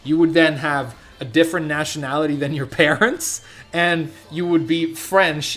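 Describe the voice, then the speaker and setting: A young man from Sweden telling a rambling story on his stream, full of fillers and restarts. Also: medium pitch.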